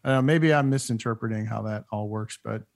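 A man hosting a golf panel, asking questions and thinking aloud in a relaxed way. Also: lowish voice, a little fast, vocal fry. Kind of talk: casual panel discussion.

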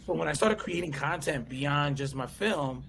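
A man's voice: on the high side, normal speed, vocal fry, a little hiss or hum. A man in his thirties from the United States, a comedian and actor telling stories as an interview guest, often acting out quotes and voices. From USA. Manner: animated storyteller, acts out voices and quotes. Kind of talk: casual interview chat.

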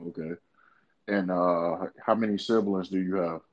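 A podcast host with a very low voice asks his guest loose, meandering questions. He halts often and fills the gaps with "uh". The recording sounds dull and lo-fi. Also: fairly even intonation. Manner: halting, many "uh" fillers and restarts, calls the guest bro. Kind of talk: casual interview questions.

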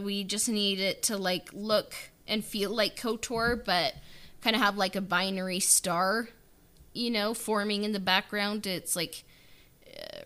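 A woman co-hosting a podcast, chatting casually and haltingly as she thinks aloud in fragments. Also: medium pitch, bright, forceful tone. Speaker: podcast co-host. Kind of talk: casual behind-the-scenes podcast chat.

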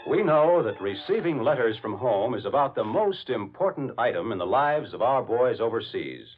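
A man's voice: mid-range voice, animated, normal speed, soft background music. A man reading a formal, emphatic scripted announcement as a 1940s radio announcer. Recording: dull lo-fi old radio recording.

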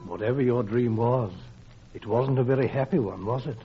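An actor playing a patient, concerned husband with a gentle, tender manner, in 1940s radio drama style. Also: male voice, mid-range voice, moderate pace, lightly acted. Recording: muffled vintage radio recording, faint music.

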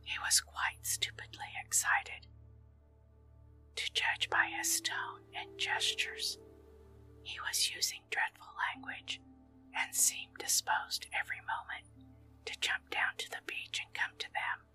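A woman reading a story aloud in a soft, unvoiced ASMR whisper, slow and measured throughout. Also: faint music behind. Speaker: narrator.

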